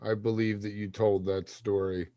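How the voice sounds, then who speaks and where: A man in a casual podcast chat who drops sarcastic, deadpan quips. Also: on the low side, moderate pace, no echo.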